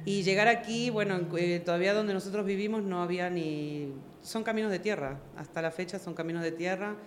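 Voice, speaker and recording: A woman's voice: lowish voice, fairly quick, slight background noise. A woman, a podcast guest telling her story, hesitant and searching for words. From Argentina. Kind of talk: casual interview chat.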